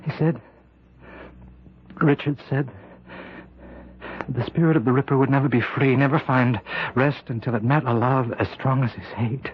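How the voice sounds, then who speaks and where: An actor playing a tormented, bitter husband gripped by a murderous obsession, speaking intensely and haltingly in an old-time radio drama. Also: male voice, medium pitch, fairly even intonation, breathy edge, natural voice. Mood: shaken, relieved. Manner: halting, intense, repeats phrases. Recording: muffled old radio recording.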